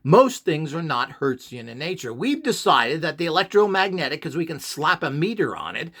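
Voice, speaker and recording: Male instructor with a slightly high, highly animated voice; dismissive, ranting delivery. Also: normal speed. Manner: scornful, ranting, full of rhetorical questions. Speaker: radionics instructor. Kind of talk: opinionated instructional monologue.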